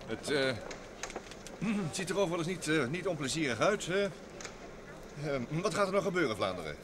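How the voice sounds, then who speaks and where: A man's voice: on the high side, big pitch swings, moderate pace. A man acting a hesitant, weary young travelling companion in an old radio drama. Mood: hesitant, uneasy. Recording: noisy old radio recording, train ambience.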